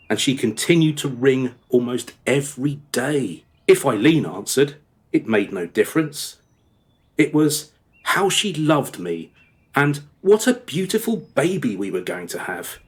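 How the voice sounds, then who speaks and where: A male actor plays a guilt-ridden married father confessing to his daughter in a radio drama. His mid-pitched voice swings up and down expressively as he rambles through every detail, hesitating as he remembers. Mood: rueful. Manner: rambling, over-descriptive, hesitant reminiscing. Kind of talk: radio play dialogue, storytelling monologue.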